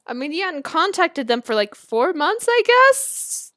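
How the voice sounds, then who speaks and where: High voiced girl, woman reading a story out loud w giggly casual commentary, really dry close sound. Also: measured pace, no room sound. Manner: giggly, animated asides. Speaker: video creator reading and reacting to fan fiction. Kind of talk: expressive story reading with casual, amused reactions.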